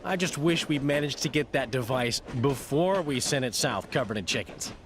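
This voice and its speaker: An actor playing a wisecracking space adventurer in an animated video game, casual and joking. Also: male voice, medium pitch, moderate pace, background noise, natural voice. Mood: relieved, jokey. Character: breezy, wisecracking male space adventurer leader.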